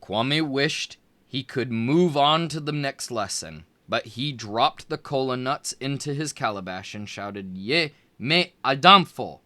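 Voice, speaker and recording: A man reading a children's chapter book aloud in an expressive storytelling way. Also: mid-range voice, unhurried. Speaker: read-aloud narrator.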